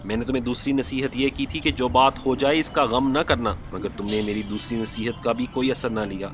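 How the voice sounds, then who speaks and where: A man voicing a small, wise female sparrow in a storybook moral tale, admonishing and deliberate as she gives advice. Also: mid-range voice, steady tone, close to an everyday voice. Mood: scolding.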